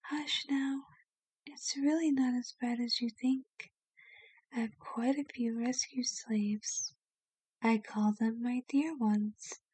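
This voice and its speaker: Woman acting as a kind vampire mistress, unhurried, mellow, soft and breathy, soothing, genteel, ASMR roleplay. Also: fairly high pitch, steady tone, dry recording. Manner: gentle, soothing, formal and genteel wording.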